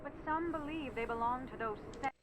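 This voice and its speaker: A woman's voice: high-pitched, fairly quick, background noise, natural voice. An actress playing a female tour guide in a video game, telling local history in a dramatic, ominous way. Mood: solemn. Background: game music.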